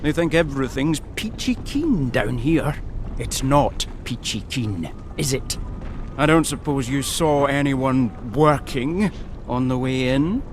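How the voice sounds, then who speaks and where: A man's voice: mid-range voice, expressive, slow, slight background noise. An actor playing a sardonic, overworked British mine foreman in a video game, blunt and exclamatory. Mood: exasperated, sardonic. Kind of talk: video game cutscene acting.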